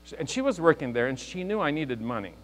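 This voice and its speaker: A man's voice: on the high side, sing-song, fast. A man in his fifties from Lebanon, giving an earnest, joking motivational speech to a live audience. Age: 50s. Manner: earnest, joking, speaks to the crowd. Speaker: guest speaker. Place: large hall with a live audience. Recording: reverberant hall, mains hum.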